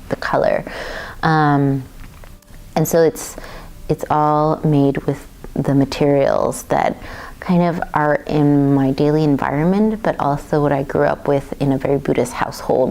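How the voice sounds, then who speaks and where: A woman artist from Taiwan talking about her work in a thoughtful, unhurried way, with many fillers and restarts. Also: low voice, vocal fry, a little mellow. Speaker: visual artist.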